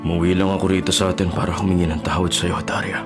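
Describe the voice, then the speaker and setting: Deep-voiced Filipino hired gun, played by a man, grave radio drama delivery over music. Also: little pitch movement, quick pace, close to an everyday voice. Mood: remorseful. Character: remorseful hired killer and poor family man.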